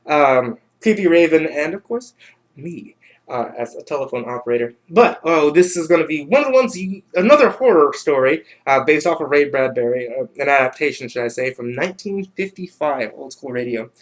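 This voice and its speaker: A man hosting a livestream in casual chat, halting and often repeating his words. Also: a little high, lively intonation. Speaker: livestream host.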